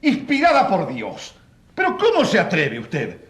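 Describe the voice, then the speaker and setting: An indignant male prosecutor in a radio courtroom drama. His high, very animated voice rings in an echoey room as he throws out pompous, challenging questions. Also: measured pace, heavily acted.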